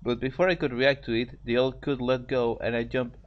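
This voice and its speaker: A man's voice: medium pitch, flat delivery, brisk, lo-fi sound. A man co-hosting a story show, reading fan fiction aloud in casual amateur narration and stumbling over words.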